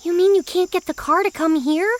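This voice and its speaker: A woman playing a feisty, teasing young girl in a kids' audio drama, excitable and sassy. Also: very high-pitched, a little fast. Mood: sassy, eager.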